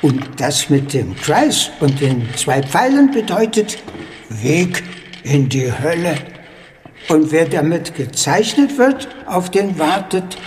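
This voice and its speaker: An actor playing a reverent, wary old Chinese man who keeps a shrine in a radio drama, speaking in halting phrases. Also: high voice, a little mellow, heavily acted. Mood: solemn. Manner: halting, hesitant short phrases. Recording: long hall reverb, faint noise.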